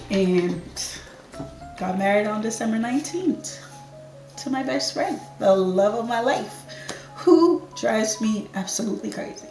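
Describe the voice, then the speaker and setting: Slow-talking Jamaican woman in her thirties. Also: mid-range voice, echoey room. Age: 30s. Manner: chatty, unhurried, laughs easily. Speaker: lifestyle YouTuber. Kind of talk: casual get-ready-with-me Q&A.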